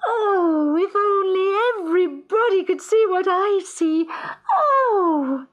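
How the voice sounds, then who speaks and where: A woman voicing a bold, cunning young heroine in a storybook, singing out clearly and gleefully. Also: expressive, slow pace, lo-fi sound, full character voice. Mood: gleeful wonder. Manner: high, clear, singing out happily. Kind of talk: dramatised storybook reading.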